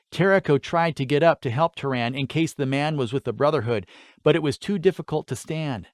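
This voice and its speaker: Casting: male storyteller for fantasy audiobook narration, medium-pitched voice, very dry recording. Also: dry recording.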